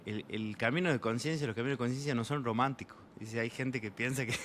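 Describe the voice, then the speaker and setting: A young man from Argentina with an Argentine Spanish accent, an interview guest telling travel stories in a relaxed, rambling way full of fillers. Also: mid-range voice, fairly quick, slight background noise. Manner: rambling, many fillers, voices quoted people. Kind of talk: casual storytelling in an interview.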